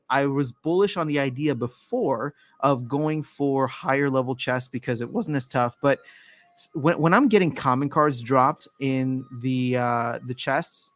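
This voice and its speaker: A man's voice: medium pitch, fairly bright tone, no room sound. Chatty man on a dry close mic. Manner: rambling, self-correcting, restarts phrases often. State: voice slightly worn from use. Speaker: livestreamer. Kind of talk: casual livestream chat reading viewer comments.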